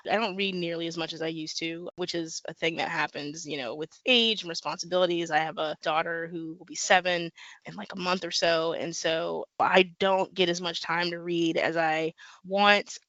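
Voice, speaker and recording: A woman's voice: lowish voice, normal speed, no echo, muffled recording. A woman author from the American Midwest, chatting casually in an interview and talking animatedly with lots of fillers. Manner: animated, talks with many fillers and laughs. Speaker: guest author.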